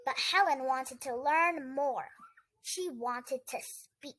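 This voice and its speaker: A child with a high voice reads a children's book aloud. The pace is slow and halting, with small stumbles and self-corrections along the way. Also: fairly bright tone, low-quality recording. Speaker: host of a children's read-aloud channel.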